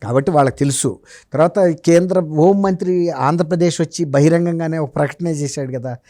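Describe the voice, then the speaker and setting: A man's voice: on the high side, a little fast, dark tone, no room sound. A man giving conversational political analysis as a journalist being interviewed, explaining emphatically and posing rhetorical questions.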